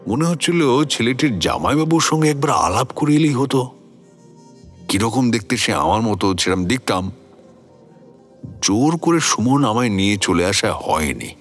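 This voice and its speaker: Deep-voiced, brooding older gentleman. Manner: musing, expressive, rhetorical. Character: older introspective gentleman recounting his uneasy past, philosophical. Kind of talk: dramatic first-person storytelling in a radio play. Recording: music bed behind the voice.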